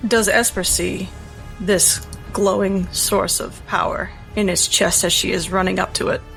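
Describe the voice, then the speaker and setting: A woman's voice: medium pitch, flat delivery, measured pace, gravelly, a bit bright, faint music behind, rough recording. A woman playing a tabletop roleplaying game, narrating her character's actions in the third person.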